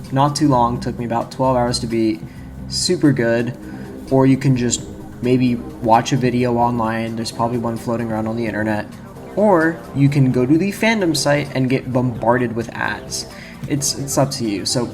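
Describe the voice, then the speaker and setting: Young guy doing chill game video commentary, rambly, interrupts himself, music pretty loud behind him. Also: mid-range voice. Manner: informal, rambling, self-interrupting. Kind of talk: casual video essay commentary. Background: prominent background music.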